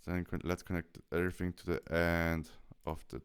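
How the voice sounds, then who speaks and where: A man presenting a software tutorial, explaining each step as he goes. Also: sits low, flat delivery, moderate pace, smooth.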